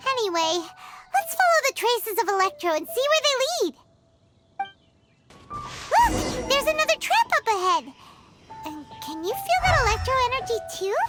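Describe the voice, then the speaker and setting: Squeaky, excitable tiny fairy. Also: female voice, exaggerated voice. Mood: excited. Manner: chirpy, exclaiming, refers to herself by name. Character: Paimon, tiny excitable childlike female fairy companion. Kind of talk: anime game voice acting. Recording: music behind the voice.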